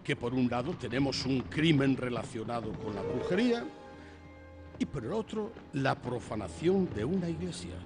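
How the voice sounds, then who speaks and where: An actor with a Castilian Spanish accent playing a wily, chatty homicide lieutenant who questions in a rambling, insinuating way, in a radio drama. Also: male voice, medium pitch, expressive, moderate pace, close to an everyday voice. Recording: music under the voice.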